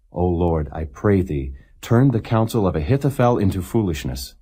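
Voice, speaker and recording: A man with a low, husky voice narrates scripture in a solemn, measured way. His pitch moves up and down freely through the phrases, while the reading stays plain and non-dramatized. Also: mellow. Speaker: Bible reader.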